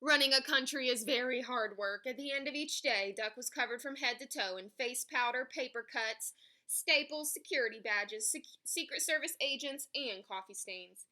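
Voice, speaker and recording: A woman reading a story aloud for children in an expressive, emphatic way. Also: high voice, moderate pace. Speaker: picture book reader.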